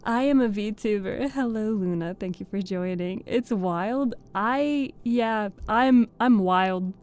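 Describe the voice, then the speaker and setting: Giggly woman streamer, mellow voice. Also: on the high side. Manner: chatty, giggly, thanks viewers by name. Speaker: streamer and VTuber reading host. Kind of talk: casual live-stream chat with viewers.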